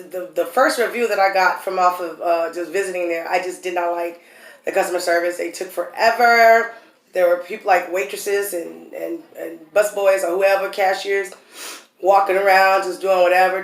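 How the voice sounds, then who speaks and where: A woman, congested and slightly wheezy, chatting casually to camera as a food vlogger. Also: medium pitch. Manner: chatty, storytelling, restarts and coughs. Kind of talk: casual mukbang chat to camera. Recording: echoey room.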